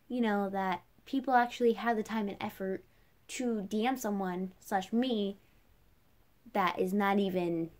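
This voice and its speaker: A young woman talks as a casual vlogger, with an ordinary mid-pitched voice. Her delivery is chatty and rambling, leaning heavily on "like" as she works through a personal rant. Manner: chatty, rambling, heavy use of "like".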